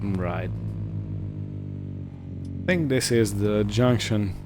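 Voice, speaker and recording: A man hosting a roleplay gaming series, narrating his play in character in a casual, improvised way with halting short phrases. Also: low-pitched, expressive, very noisy.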